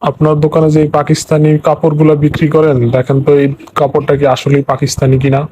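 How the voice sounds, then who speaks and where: A man acts as a stern cartoon government officer. His voice is mellow and slightly high for a man, and he delivers formal, firm statements very quickly. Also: flat delivery, a little hiss or hum, lightly acted, poor audio. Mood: stern, official. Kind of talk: comic cartoon dialogue.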